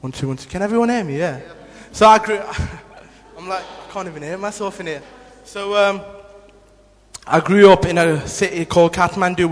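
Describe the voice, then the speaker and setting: A young man from Nepal shares his testimony in a church hall, speaking earnestly and haltingly, full of ums and restarts. His voice sits a little high, and his words ring out with long reverb across the room. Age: 20s. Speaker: guest giving a testimony.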